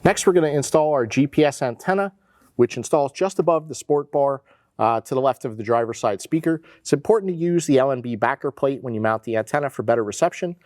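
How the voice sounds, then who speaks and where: A man's voice: medium pitch, quick pace, a little mellow. An American man in his forties giving a casual, plain-spoken step-by-step tutorial as an installation instructor.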